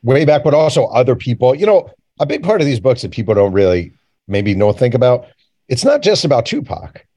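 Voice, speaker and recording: A man's voice: mid-range voice, big pitch swings, a little fast, mellow, dry recording, studio quality. A man speaking as an interview guest, candid and colloquial, repeating phrases for emphasis. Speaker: author and journalist guest. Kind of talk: casual conversational interview.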